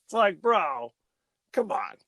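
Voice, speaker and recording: A man's voice: sits high, sing-song, slow, bright, forceful tone, dry recording, clean studio sound. A man co-hosting a podcast, chatting casually and joking, often laughing and trailing off mid-sentence. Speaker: podcast co-host. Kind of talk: casual chat.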